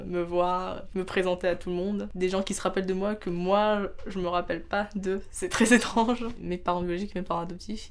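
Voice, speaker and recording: A woman in her twenties, an art student giving a casual personal testimony in a hesitant, chuckly way. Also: lowish voice. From born in southern Vietnam, adopted into France. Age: 20s. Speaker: art student being interviewed.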